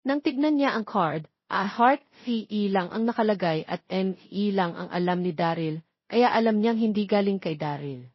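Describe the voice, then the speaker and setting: A woman's voice: medium pitch, moderate pace, husky, no echo, lo-fi sound. A woman with a Filipino accent reading a scripted romance story as its narrator. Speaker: narrator of audio stories.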